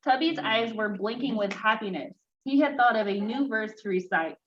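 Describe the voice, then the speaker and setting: A woman reading aloud to children in an expressive, engaging way. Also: on the high side, normal speed. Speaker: storytime reader for children. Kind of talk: read-aloud children's story, then casual chat with children. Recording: dull lo-fi sound, clear background noise.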